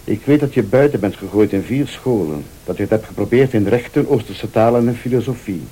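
An actor playing a vengeful rival in a radio thriller, a calm, sardonic accuser who speaks Flemish with deliberate, probing politeness. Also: male voice, on the low side, slightly rough, poor audio. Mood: accusing. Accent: Flemish Belgian. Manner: deliberate, insinuating, formally polite.

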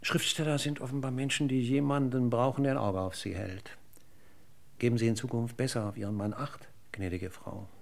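An actor playing a courteous, verbose police inspector in a radio crime drama, speaking formally and in a roundabout, deliberate way. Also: male voice, mid-range voice, fairly even intonation, creaky, lightly acted. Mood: courteous, wry.

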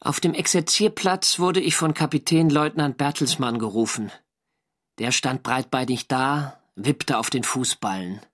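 A measured wartime sailor diarist. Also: male voice, mid-range voice, fairly bright tone. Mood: wry, reflective. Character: young navy sailor narrating his wartime diary, earnest and wry. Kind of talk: scripted first-person radio drama narration.

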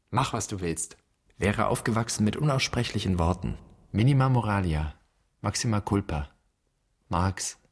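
An anguished son in a German radio drama. The voice is low and husky, speaking in deliberate poetic fragments. He sounds haunted by a father's guilt, questioning as he goes. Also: full character voice. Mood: bitter.

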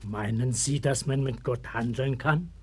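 A man playing a stern, compassionate prophet in a radio play, speaking in a solemn, measured, declamatory way. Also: mid-range voice, a little mellow, slightly rough. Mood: stern, resolute. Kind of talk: German radio play acting. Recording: early 1960s vinyl radio-play record.

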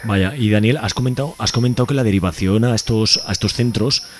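A man's voice: lowish voice, fast, a little hiss or hum. A man with an accent from Spain, hosting a radio interview in an informal, conversational way with frequent checking tags. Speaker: radio host.

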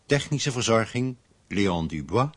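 A man reading scripted radio drama credits as a formal, measured announcer. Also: fairly low pitch, lively intonation, husky, no echo.

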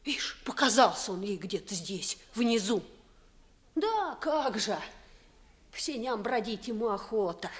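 An actress playing a pert, plain-spoken young maidservant in a classical radio play, with a folksy, saucy and theatrical manner. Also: female voice, on the high side, expressive, unhurried. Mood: nervous, grumbling. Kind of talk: 1950s Russian classical radio theatre acting.

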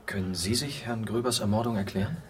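An actor playing a journalist in a radio drama, with a direct, inquisitive way of talking. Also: male voice, lowish voice, fast talker, faint music behind, lightly acted. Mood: probing. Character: probing male journalist questioning a witness.

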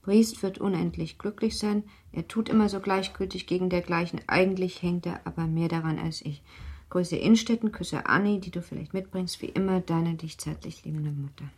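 Husky, lower-voiced young wife. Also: female voice, fairly even intonation, moderate pace, a little mellow. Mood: reading a letter. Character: young, pampered wife, affectionate, anxious yet willful. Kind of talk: German radio play acting.

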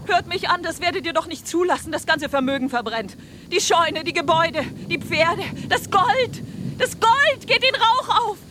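A woman's voice: very high voice, animated, normal speed, background noise. An actress playing a distraught woman who pleads insistently in a German radio drama. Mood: urgent, pleading. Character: Anna, distraught pleading woman rousing people from sleep at night.

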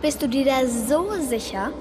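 A woman's voice: high voice, a little slow, background noise. A woman playing a mysterious, bossy little girl with witchlike self-assurance in a German radio drama. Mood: insistent.

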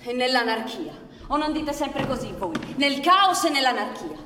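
A woman's voice: sits high, expressive, normal speed, far from the mic, noisy background. A combative anarchist girl in a radio play, sarcastic and challenging, off mic in an echoey hall. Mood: scornful, sarcastic. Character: combative, scornful female anarchist militant. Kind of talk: Italian radio theatre acting.

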